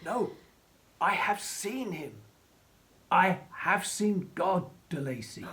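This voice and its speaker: An actor playing a reanimated creature in a radio play, childlike and tormented, speaking haltingly and repeating words with effort. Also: medium pitch, animated, sharp tone. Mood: anguished, insistent.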